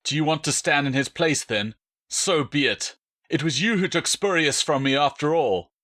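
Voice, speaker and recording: A man's voice: a little high, moderate pace, fairly bright tone, pitched above the performer's own voice. A man voicing a big, cruel, vengeful Roman soldier, hot-tempered, accusing and sneering in an audiobook. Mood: furious.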